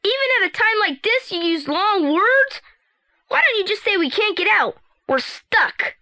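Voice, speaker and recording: An actor playing an anxious, excitable teenage boy sidekick in an audio drama, plain-spoken and given to breathless exclamations. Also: extremely high pitch, unhurried, exaggerated voice. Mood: exasperated.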